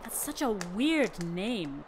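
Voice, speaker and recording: An actress playing a bubbly, naive, friendly young camper in a radio drama, eager and chatty. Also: female voice, medium pitch, very animated, slow pace, background noise.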